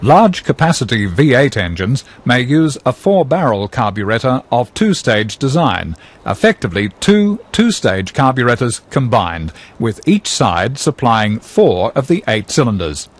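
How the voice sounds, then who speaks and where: Husky male narrator with lively intonation. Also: mid-range voice. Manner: measured, precise, explanatory. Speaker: narrator of an educational film. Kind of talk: scripted instructional narration.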